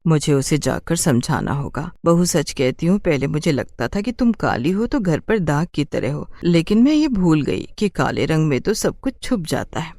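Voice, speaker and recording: A woman's voice: low voice, big pitch swings, moderate pace, hardly any pauses, warm tone, no echo, studio quality. A woman voicing a stern middle-aged mother who scolds her daughter.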